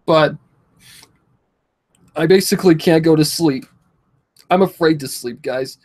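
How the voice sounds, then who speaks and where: Distressed young man, higher-pitched voice. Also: a little mellow. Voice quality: slightly affected speech. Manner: halting, repetitive, pleading, swearing. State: distressed, tearful. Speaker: vlogger. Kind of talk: emotional confessional talk to followers.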